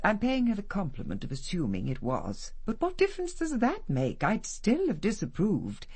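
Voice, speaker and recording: Radio matriarch: middle-aged, haughty and formal, husky timbre, very animated pitch, coolly disapproving. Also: female voice, normal speed, full character voice. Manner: imperious, clipped, formal. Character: haughty, disapproving upper-class matriarch, cool and cutting. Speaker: actress in a radio detective drama. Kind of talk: radio drama dialogue.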